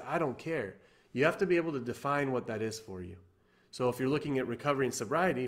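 A man in his thirties, from Iran, giving an earnest motivational talk to camera from his living room, repeating himself and restarting often. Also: mid-range voice. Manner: earnest, repetitive, many fillers and restarts. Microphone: webcam or laptop camera at desk.

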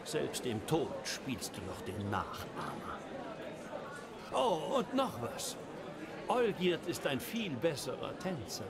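An actor playing a sly, smug schemer in a game, taunting and silky in a theatrical way. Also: male voice, fairly high pitch, huge pitch swings, takes it slowly, creaky, heavily acted. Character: sly, smug stranger, a tempting male schemer. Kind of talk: dramatic game voice acting. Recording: loud crowd chatter behind.

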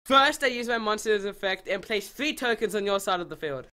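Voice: medium pitch, expressive, fairly quick, pushed and bright, shouting. An actor voicing a scheming, gloating small schoolboy villain in a cartoon parody dub, theatrical and plotting aloud. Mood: fake friendly.

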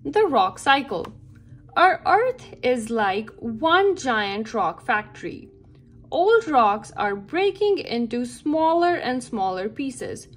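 Slow, lively woman reading to children. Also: on the high side. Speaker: read-aloud narrator for children. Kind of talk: children's picture book read-aloud. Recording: background music under the voice. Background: music.

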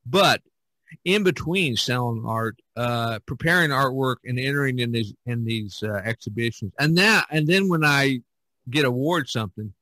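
A man hosting a podcast in casual conversation, talking in a folksy, rambling, halting way. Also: medium pitch, bright, forceful tone, no room sound, rough recording. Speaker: podcast host.

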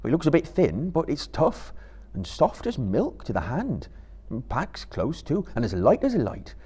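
Hobbit gardener, played by a male reader: rustic, plain-spoken, loyal, wary, animated husky voice for fantasy storytelling. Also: medium pitch, normal speed, pitched above the performer's own voice. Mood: admiring. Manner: rustic, chatty phrasing.

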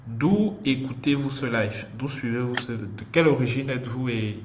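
A young man hosts a casual livestream, chatty and halting, calling out to his viewers. He speaks through a headset in a small room. The video-call audio is a little muffled, with a faint hiss underneath. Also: mid-range voice. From Cameroon. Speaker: host of a student livestream.

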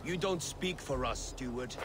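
An actor playing a gruff, wary Viking clansman in a video game, blunt in his speech. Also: medium pitch, unhurried, background noise, natural voice. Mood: warning. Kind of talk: video game cutscene acting.

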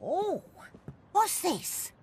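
A woman voicing a bossy, blunt, stout old landlady in an animated comedy. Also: very high-pitched, drawn-out words, full character voice. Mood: gleeful. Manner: brusque, blunt, loud. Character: grumpy, bossy, stout old landlady who turns gleeful. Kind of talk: British animated comedy acting. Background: loud cartoon background music.

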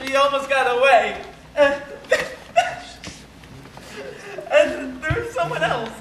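A man's voice: very high-pitched, measured pace. A man performing a stage monologue as an unhinged, panicking murderer confessing by phone, stammering and breaking into nervous laughter. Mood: agitated confession. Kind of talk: theatrical monologue as a one-sided phone call. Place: large room at a banquet, recorded on camcorder. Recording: echoey hall, loud noisy camcorder audio.

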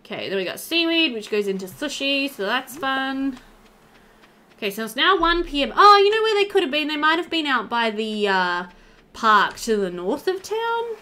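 Animated young woman doing game commentary. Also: high voice, moderate pace. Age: young adult. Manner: chatty, reads game dialogue aloud playfully. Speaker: gaming video host. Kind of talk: casual let's play commentary. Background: game music.

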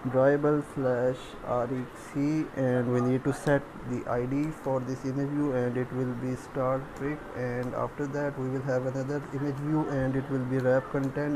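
Male tutorial instructor, halting and monotone, working through steps aloud on a noisy home-made recording. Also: medium pitch. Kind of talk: step-by-step screen tutorial, thinking aloud.

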